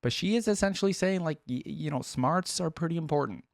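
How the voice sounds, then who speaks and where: A man's voice: fairly high pitch, animated, brisk, vocal fry, no room sound. A man hosting a reaction video, giving casual commentary and musing aloud as he goes. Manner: musing, thinking aloud, repeats phrases he hears.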